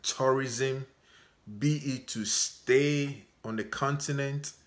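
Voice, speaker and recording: A Ghanaian man talking to his viewers in a measured way, often stopping to ask "okay?". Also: mid-range voice, fairly even intonation, a bit bright. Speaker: vlogger. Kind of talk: unscripted talk to camera.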